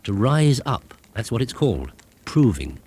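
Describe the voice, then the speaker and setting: Man doing a kindly bossy witch lady from a bedtime story, brisk, swoopy pitch, old tape hiss. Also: very animated, darkish tone. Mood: matter-of-fact. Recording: hissy 1980s cassette tape transfer.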